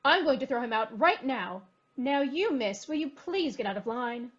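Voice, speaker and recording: A woman playing an officious, exasperated department-store employee in a brisk radio comedy style, on a slightly dull recording. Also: high voice, expressive, a bit bright. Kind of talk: old-time radio comedy acting.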